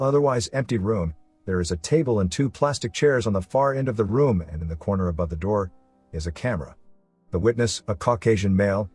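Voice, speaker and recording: A man's voice: on the low side, darkish tone, husky, dry recording. A man narrating a suspense story from a script as the narrator of an audio drama. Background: faint music.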